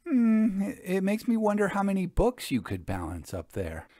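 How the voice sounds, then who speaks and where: A man's voice: high-pitched, wildly swooping pitch, normal speed, warm tone, no echo, studio quality, pitched above the performer's own voice, exaggerated voice. A man voicing a chatty, tartly polite woman investigator with a hesitant, blathering manner in a storybook reading. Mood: teasing. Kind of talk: audiobook storybook dialogue.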